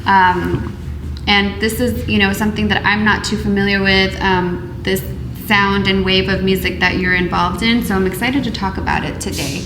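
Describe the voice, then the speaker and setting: A woman's voice: medium pitch, steady tone, moderate pace. A woman hosts a casual interview with a loose, chatty delivery full of ums and restarts. Her voice rings in an echoey room, with a faint hum underneath. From United States. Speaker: podcast host.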